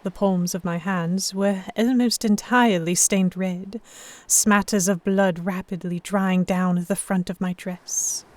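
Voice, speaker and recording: A woman's voice: medium pitch, steady tone, unhurried, a little mellow, dry recording, natural voice. Woman playing an earnest noblewoman, dry close sound. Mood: tense, reflective. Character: delicate young noblewoman, vexed, earnest, stubborn romantic heroine. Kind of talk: first-person dramatic audiobook narration.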